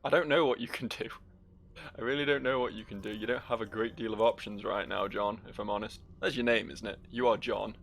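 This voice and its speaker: A man's voice: fairly low pitch, steady tone, brisk, creaky, a bit bright, slight background noise. A man giving casual, joking let's play commentary, rambling and teasing as he talks to the game's character. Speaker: gaming video host.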